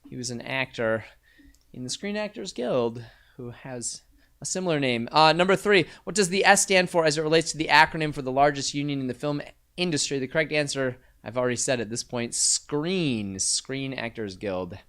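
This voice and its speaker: A man's voice: medium pitch, moderate pace, a bit bright. Casual male trivia quiz host. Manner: reads questions and answers, joking asides. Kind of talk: live-streamed quiz night banter.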